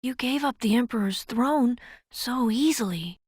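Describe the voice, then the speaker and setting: A woman's voice: fairly high pitch, a little slow, breathy edge, dry recording, clean studio sound. A spirited, stubborn young fighter girl. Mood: calm. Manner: bold, energetic, a little shy when asking.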